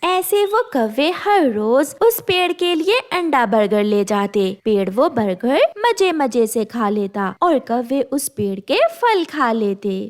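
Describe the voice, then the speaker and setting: A woman's voice: a little high, very animated, slow, few pauses. A woman storyteller reading scripted narration for a children's cartoon.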